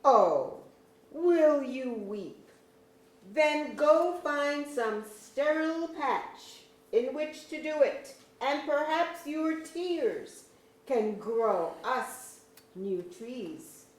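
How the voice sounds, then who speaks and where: A woman playing a high, slow, scornful fairy. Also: lively intonation, full character voice. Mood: mocking. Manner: sneering, deliberate, archaic phrasing. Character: scornful, mocking woodland fairy spy. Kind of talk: staged reading of verse drama. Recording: echoey auditorium.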